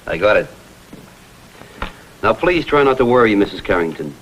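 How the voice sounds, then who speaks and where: Vintage TV crime drama: male actor as persistent American photographer-detective, urgent, earnest delivery, animated intonation. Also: on the low side, normal speed, a little hiss or hum, close to an everyday voice, poor audio. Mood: reassuring, brisk.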